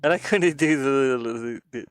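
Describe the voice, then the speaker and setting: A man chatting casually like a streamer, thinking aloud in a halting, rambling way. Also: medium pitch, slight background noise. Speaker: streamer and hobby game designer. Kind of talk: casual rambling livestream chat.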